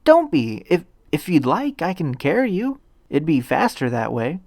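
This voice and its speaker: A man's voice: on the high side, huge pitch swings, normal speed, darkish tone, no room sound, clean studio sound, pitched above the performer's own voice, heavily acted. A man voicing an earnest, polite teenage boy hero in a fan fiction reading, eager and a little nervous, with a stammer. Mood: reassuring.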